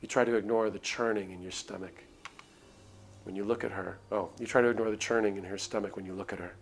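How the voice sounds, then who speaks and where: A man's voice: on the low side, fairly even intonation, quick pace, vocal fry, slight background noise. A man reading a visual novel aloud as a streamer, in dramatic narration with casual asides. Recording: music behind the voice. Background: music.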